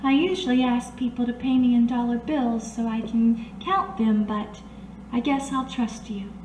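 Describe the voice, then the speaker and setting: Actress as worldly 1930s woman, high voice, coaxing, unflappable, radio noir acting, echoey room, faint noise. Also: steady tone, normal speed, a little mellow, lightly acted. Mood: assured, flirtatious. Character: blind, worldly, soothing 1930s working woman who knows underworld secrets. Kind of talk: old-time radio detective drama acting. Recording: roomy, distant amateur recording, faint noise.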